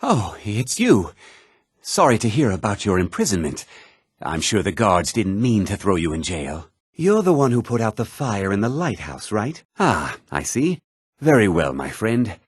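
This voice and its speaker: A self-important, gossipy townsman from a fantasy video game. His husky voice runs a little low and swings widely in pitch, with articulate, theatrical phrasing. The sound is close and dry. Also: huge pitch swings, measured pace, dry recording, heavily acted. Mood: polite, wry. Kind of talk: scripted fantasy game character dialogue.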